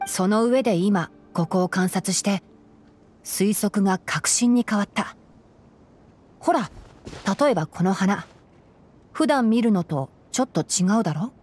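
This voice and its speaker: Casting: woman as boyish young ranger scholar, mid-range, gentle, analytical, anime game style. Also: mid-range voice, animated, soft background music, close to an everyday voice. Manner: measured, explanatory, soft-spoken. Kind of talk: Japanese anime game voice acting.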